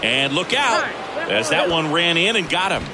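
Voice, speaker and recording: A man playing an alert baseball play-by-play announcer in a video game, quick to rise with the action. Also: on the high side, big pitch swings. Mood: alert, building excitement. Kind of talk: sports commentary in a video game. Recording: loud stadium crowd and ambience behind. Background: ballpark crowd noise.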